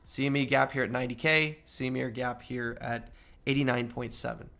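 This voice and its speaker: A man's voice: mid-range voice, level tone, a little fast, vocal fry, pushed and bright. A man hosting a trading livestream, talking through charts in a casual, explanatory way. He often checks in with "right?" and sounds slightly nasal as he gets over a cold. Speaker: crypto trading livestream host. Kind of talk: casual chart analysis with chat.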